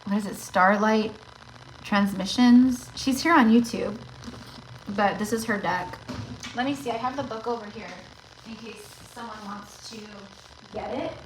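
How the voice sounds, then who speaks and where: A woman hosting a casual tarot livestream, chatty and rambling, talking straight to her viewers, with her voice going slightly raspy. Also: a little high, a little slow, a little hiss or hum, poor audio.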